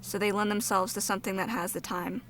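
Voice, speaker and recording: Bright, sardonic woman on a podcast. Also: mid-range voice, fairly even intonation, fast talker, slight background noise. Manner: acts out quoted reactions, sardonic. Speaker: podcast co-host. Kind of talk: casual podcast chat.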